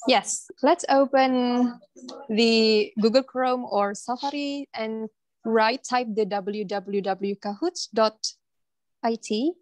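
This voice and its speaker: A woman's voice: a little high, takes it slowly, darkish tone, no echo, low-quality recording. Cheerful host woman, dry sound. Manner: cheerful, chatty moderator. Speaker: workshop host. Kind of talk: hosting an online class.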